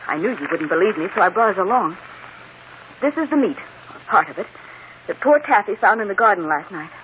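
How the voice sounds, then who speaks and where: A woman's voice: medium pitch, expressive, fairly quick, a little hiss or hum, natural voice. Lady playing scared young woman in an old radio mystery, voice swoops up and down, tinny. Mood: frightened, pleading. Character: frightened, fretful young woman pleading for protection. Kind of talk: old-time radio drama acting.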